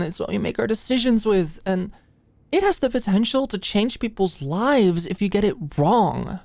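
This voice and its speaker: A woman's voice: mid-range voice, dark tone, dry recording, lightly acted. A woman playing an anxious, stressed-out young medical student in an intimate roleplay monologue, rambling and stammering as she goes. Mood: weary, frustrated. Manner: rambling, stammering, hesitant, swearing.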